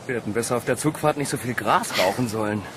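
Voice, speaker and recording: An actor playing an excitable young man, astonished at a mystery, in an audio drama. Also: medium pitch, background noise, close to an everyday voice. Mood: wry, relieved.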